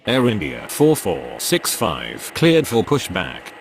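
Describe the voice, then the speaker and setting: A man voicing a brisk, official airport radio operator in a game, with clipped phraseology. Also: lowish voice, huge pitch swings, creaky, slight background noise, heavily acted.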